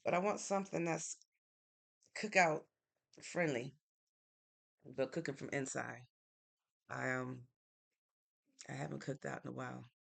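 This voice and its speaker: A woman's voice: very deep voice, fairly even intonation, a little slow, creaky, pauses often. A woman from Connecticut in the United States hosting a live stream, chatting casually and answering viewer comments in an easygoing, thinking-aloud way. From Norwalk, Connecticut, United States.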